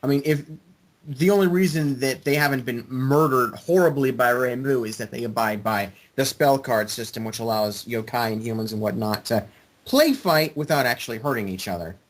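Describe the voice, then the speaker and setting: A man's voice: medium pitch, normal speed. A man chatting casually in a group and explaining things in an earnest way. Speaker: group member.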